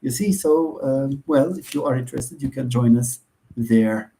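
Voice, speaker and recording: Man, Moroccan accent, native Moroccan Arabic speaker, lecturer, warm dark tone, hesitant, fills pauses, asks and answers himself. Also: medium pitch, rough recording. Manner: hesitant, with frequent fillers and restarts, asks and answers his own questions. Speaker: university lecturer. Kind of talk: answering students' questions in a live online session.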